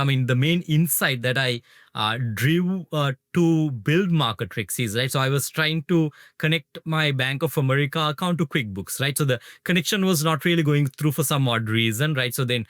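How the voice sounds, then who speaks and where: A man's voice: on the high side, fairly bright tone, no room sound, studio quality. A man from Sri Lanka, a startup founder interviewed as a guest, who talks casually and keeps adding "right?" and "like, you know".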